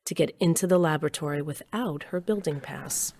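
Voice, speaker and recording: A woman's voice: sits low, a little fast, a little mellow. An actress playing a nostalgic woman scientist who narrates an audio drama in a dramatic first-person storytelling manner. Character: woman scientist Grace, wife and mother, nostalgic ex-rocker.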